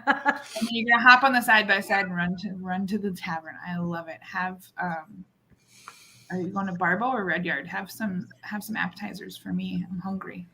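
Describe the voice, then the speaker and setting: A woman in her thirties chatting casually and playfully as a co-host on a live group show, talking in a lively, unscripted way. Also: mid-range voice, moderate pace, vocal fry, slight background noise. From lives in North America near the Canadian border. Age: 30s.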